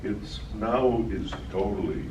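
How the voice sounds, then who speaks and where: An older man presenting at a talk, answering questions in a slow, measured way with pauses. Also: fairly low pitch. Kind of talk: Q&A session at a talk. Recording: echoey room from far off, dull and noisy.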